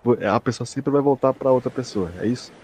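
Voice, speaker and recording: Young Brazilian man, mid-pitched, slangy. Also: fairly quick, a little mellow, breathy edge. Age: young adult. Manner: slangy, swearing. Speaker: podcast co-host. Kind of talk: casual chat.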